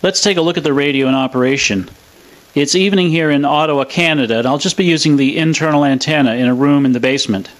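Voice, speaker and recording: A man's voice: a little high, fast talker. A man presenting in a scripted, explanatory narration style. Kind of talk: scripted narration of a radio restoration demo.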